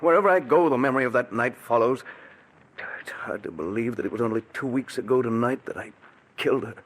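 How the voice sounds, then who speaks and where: A man's voice: medium pitch, quick pace, close to an everyday voice. An actor playing a haunted, guilt-ridden man in a 1940s radio drama who grows coldly insistent. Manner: brooding, then coldly insistent. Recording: old radio broadcast recording.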